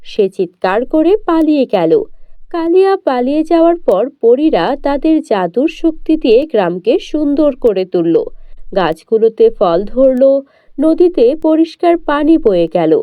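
A woman's voice: high-pitched, sing-song, unhurried, dry recording, phone-quality sound. A woman narrator reading a scripted children's fairy tale for a cartoon.

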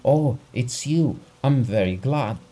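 A man reading aloud and voicing a frantic middle-aged gentleman who pleads breathlessly for help. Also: medium pitch, animated, takes it slowly, slight background noise, voice pushed up. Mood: relieved, pleading.

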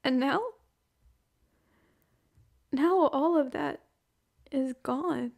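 A young woman playing a hurt, heartbroken girlfriend in an emotional, halting ASMR roleplay monologue. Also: high-pitched, vocal fry, darkish tone, heavily acted. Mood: sad, pleading.

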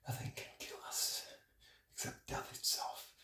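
A man in his early twenties, sitting in cold water, talking to himself in halting, breathy whispers while he shivers. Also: bright, forceful tone, recorded from a distance. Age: early 20s. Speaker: vlogger. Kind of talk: shivering self-talk vlog. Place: small bathroom, sitting in a bathtub. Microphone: phone camera held close. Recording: echoey bathroom, noisy phone audio.

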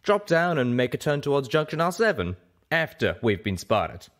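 A man's voice: medium pitch, very animated, moderate pace, a bit bright, pitched above the performer's own voice. A man voicing a loyal, plucky male pegasus cowboy with a drawling country dialect in a story reading. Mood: planning.

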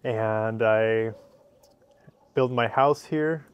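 Slow-talking man with an Ecuadorian Spanish accent. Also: on the low side, clear, resonant tone, lo-fi sound. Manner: short simple sentences, repeats phrases. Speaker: interview guest. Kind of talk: casual interview. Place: covered outdoor patio by a fireplace.

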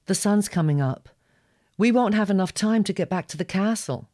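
A woman's voice: mid-range voice, normal speed, warm tone, dry recording, clean studio sound, voice pushed up, natural voice. A woman voicing a proud, bossy young gargoyle girl with an imperious manner in an audio story. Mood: worried. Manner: imperious, scoffing.

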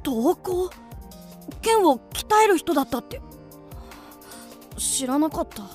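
A woman's voice: sits high, lively intonation, slow, a little mellow, soft background music. A woman playing a naive, restless fifteen-year-old boy in a radio drama. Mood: startled, curious.

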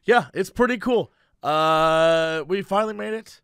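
Hoarse, bright-voiced goofy podcast host. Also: male voice, sits high, unhurried, no echo, clean studio sound. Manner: goofy, sings and shouts bits, jokey asides. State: hoarse, lost voice. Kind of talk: casual podcast chat.

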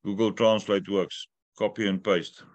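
A man's voice: fairly low pitch, level tone, unhurried, dry recording. A middle-aged man whose first language is not English, hosting a weekly video call from home, joking and explaining at length. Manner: talkative, joking, instructive. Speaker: host of a weekly update call. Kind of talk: casual video call chat. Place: room at home.

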